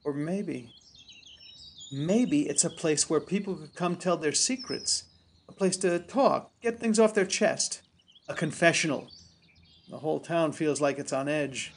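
An actor playing a playful, coaxing farm husband in a radio play, with a folksy manner. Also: male voice, fairly high pitch, lively intonation, measured pace, a little hiss or hum. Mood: hopeful, persuading.

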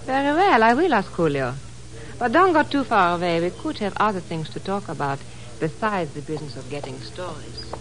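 A woman's voice: fairly low pitch, very animated, fairly quick, rough recording. An actress playing a cool, probing female reporter with poised, insinuating delivery in a 1950s radio drama. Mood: coy, probing.

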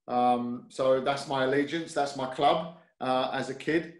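London-accented male guest, easygoing and hesitant, mid-pitched, echoey room sound. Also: fairly even intonation, unhurried. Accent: London English. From North London, UK. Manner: hesitant, many fillers and restarts, easygoing. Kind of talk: casual interview chat. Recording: roomy echo.

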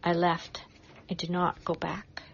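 A woman's voice: fairly low pitch, completely flat delivery, measured pace, creaky, slightly rough, highs cut off. A woman giving a dramatic first-person reading of a story.

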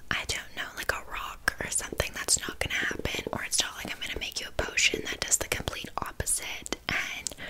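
A woman playing a flaky, passive-aggressive witch in an ASMR roleplay, speaking in a soft, breathy whisper with casual, deadpan patter. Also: natural voice, poor audio.

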